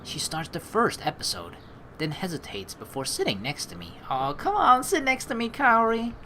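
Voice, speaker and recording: A woman's voice: fairly high pitch, wildly swooping pitch, moderate pace, bright, forceful tone, slight background noise. A young woman reading a visual novel aloud and adding playful, chatty commentary between lines. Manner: playful, giggly, chatty asides. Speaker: let's play streamer.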